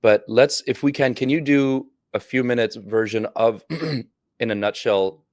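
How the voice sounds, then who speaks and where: A man hosting an interview podcast, reacting briefly while the guest speaks and then asking measured questions. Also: medium pitch, a little mellow, no room sound, clean studio sound. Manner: brief encouraging backchannels. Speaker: podcast host.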